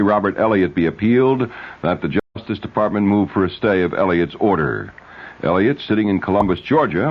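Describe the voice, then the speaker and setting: A man reading a scripted 1970s radio news bulletin as anchor. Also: lowish voice. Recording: dull, lo-fi old radio recording.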